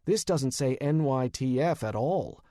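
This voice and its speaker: A man's voice: medium pitch, lively intonation, unhurried, warm tone, dry recording, natural voice. Man playing a wry detective, dry close sound. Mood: sarcastic. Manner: dry, sardonic, matter-of-fact. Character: wry, determined male detective hero of urban fantasy. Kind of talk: audiobook dialogue.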